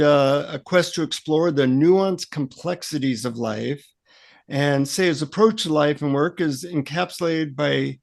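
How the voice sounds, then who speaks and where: A man's voice: a little high, gravelly. A man co-hosting a podcast in a casual, conversational style. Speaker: podcast co-host.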